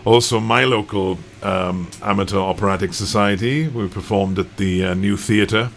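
A man's voice: fairly low pitch, fairly even intonation, normal speed, creaky, slight background noise. A Welsh man in his forties, a singer chatting as a studio guest on a radio show, self-deprecating and full of restarts. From Wales. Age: 40s. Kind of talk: casual radio interview chat.

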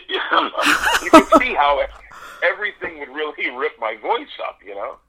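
A man telling stories as an interview guest, animated and laughing often in the middle of his sentences. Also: on the high side, normal speed, husky, low-quality recording. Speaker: impressionist guest. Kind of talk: casual radio interview.

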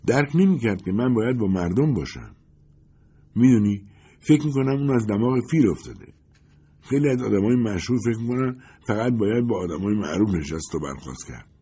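A man's voice: fairly low pitch, animated, dark tone, breathy edge. A man voicing a talkative, glamorous woman who gushes and wants to be liked. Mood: chatty. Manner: gushing, eager to please.

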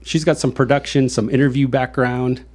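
A man's voice: mid-range voice, level tone, moderate pace, a little mellow. A man hosting a leadership consulting podcast, chatting casually and introducing his guests. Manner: upbeat, conversational introductions. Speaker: podcast host. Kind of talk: casual interview.

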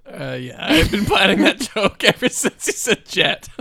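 A young man in his twenties co-hosts a loose podcast chat, cracking crude jokes and laughing along with them. His pitch sits a little high and swings all over, and the sound is close and dry. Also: huge pitch swings, normal speed, creaky, pushed and bright, husky, no room sound. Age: 20s. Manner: joking, profane, laughs through his stories. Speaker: podcast co-host. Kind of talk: casual chat.

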